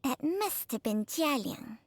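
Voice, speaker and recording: Calm old snake spirit, high and super slow, game music faint. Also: female voice, background noise, heavily acted. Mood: composed. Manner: slow, deliberate.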